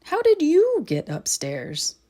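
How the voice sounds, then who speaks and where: Woman playing a startled night watchman, animated. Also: high-pitched, takes it slowly, voice pushed up, exaggerated voice. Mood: surprised, kindly. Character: male night watchman, startled and suspicious, kindly.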